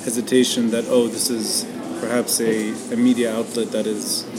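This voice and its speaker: A man's voice: mid-range voice, flat delivery, normal speed, a crowd in the background. A man hosting a podcast interview, engaged, with frequent short affirmations. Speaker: podcast host. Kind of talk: conversational interview.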